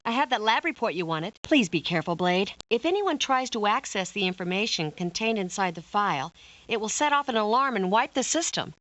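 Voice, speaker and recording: A woman playing a brisk, caring lab analyst in a video game, informative and expressive. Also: medium pitch, lightly acted. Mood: helpful. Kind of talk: character dialogue in a video game.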